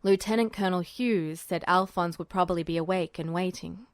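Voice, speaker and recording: A woman voicing a smirking, commanding military man with a deep, dry, weary delivery in a story reading. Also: fairly quick, no room sound, lightly acted. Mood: matter-of-fact.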